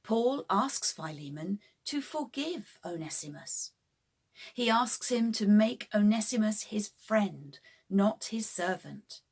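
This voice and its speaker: A woman narrating a scripted children's bedtime story in simple, deliberate phrases for young listeners. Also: mid-range voice, lively intonation, breathy edge.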